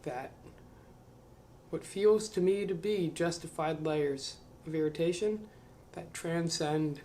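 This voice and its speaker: Slow, musing man reading aloud. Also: on the high side, a little mellow, slight background noise. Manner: slow, musing, self-questioning, trails off into mumbles. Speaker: channel host reading a book aloud. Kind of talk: reading aloud with reflective commentary. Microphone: to camera.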